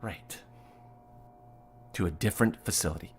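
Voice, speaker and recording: A man's voice: low voice, normal speed, slightly rough. A man playing a bitter, defiant security guard facing punishment in a naturalistic audio drama, clipped and sarcastic. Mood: subdued, challenging. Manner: clipped and sarcastic, rising to indignation.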